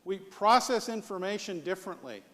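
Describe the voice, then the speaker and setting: A man's voice: high-pitched, lively intonation, moderate pace, fairly bright tone. An American man giving a live leadership presentation as a conference speaker, conversational and punctuated with rhetorical questions. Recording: long hall reverb.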